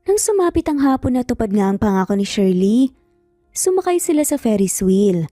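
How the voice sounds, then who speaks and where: A woman's voice: on the high side, big pitch swings, moderate pace, dark tone, studio quality. A woman narrating a scripted dramatic story as a storyteller. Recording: roomy echo, faint background music. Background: faint music.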